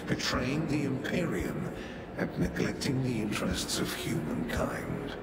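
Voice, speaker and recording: An actor playing a stern, accusatory official of a grim sci-fi empire in a video game. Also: male voice, medium pitch, wildly swooping pitch, measured pace, creaky, full character voice. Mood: grave accusation. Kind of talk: dramatic video game voice acting. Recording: game audio with very loud background noise.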